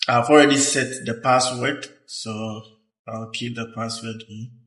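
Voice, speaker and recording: A man presenting a hands-on technical tutorial, explaining step by step in a halting way. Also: mid-range voice, fairly even intonation, a bit bright. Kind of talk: hands-on instructional walkthrough. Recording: roomy echo, uneven distance from mic.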